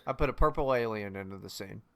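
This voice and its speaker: A man's voice: lowish voice, fast talker, creaky, crowd noise. A man in his thirties co-hosting a casual podcast, teasing and cracking crude jokes. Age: 30s. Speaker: podcast co-host.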